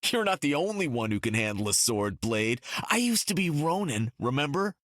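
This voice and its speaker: A man's voice: fairly high pitch, very animated, moderate pace, fairly bright tone, dry recording, clean studio sound. An actor playing a brash, bantering archer hero in a video game, proud of his skills. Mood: cocky banter.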